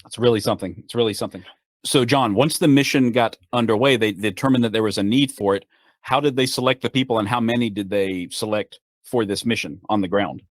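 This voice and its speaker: A man hosting a podcast interview, reacting with quick interjections and asking questions. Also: lowish voice, no echo, studio quality. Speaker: podcast host.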